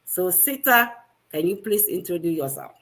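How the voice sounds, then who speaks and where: A woman's voice: on the low side, lively intonation, normal speed, a bit bright. A woman from Ghana hosting a live video call, upbeat, often tossing questions out to the audience. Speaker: host. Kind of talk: live stream chat.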